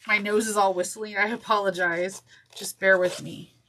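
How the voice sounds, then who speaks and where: A woman's voice: mid-range voice, steady tone, a little slow, pushed and bright. A congested woman with a nasal voice teaching a sewing project step by step, chatty and correcting herself as she goes, with apologies along the way. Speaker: sewing instructor. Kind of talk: step-by-step craft tutorial. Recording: noisy with clicks.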